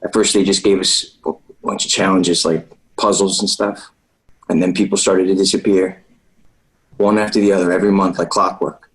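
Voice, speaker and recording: An actor playing an earnest, guilt-ridden young leader in a table read over a video call. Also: male voice, deep voice, flat delivery, moderate pace, natural voice, poor audio. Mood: grave, guilty. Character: earnest, guilt-ridden young man leading trapped boys. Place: remote video call reading.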